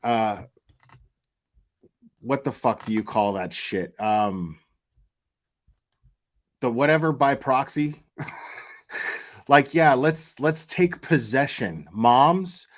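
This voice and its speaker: A man giving mocking reaction commentary in a sarcastic, derisive way. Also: medium pitch.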